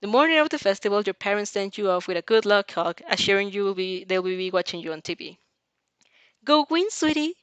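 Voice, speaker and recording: A young woman streaming a casual read-aloud of fan fiction, giggly, animated and scattered in her talk. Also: mid-range voice, fast talker, few pauses, smooth, no room sound.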